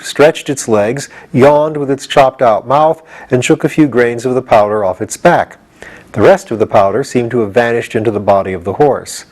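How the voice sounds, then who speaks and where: A man's voice: medium pitch, darkish tone. A man narrating a children's storybook as a scripted audiobook reading. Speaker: audiobook reader.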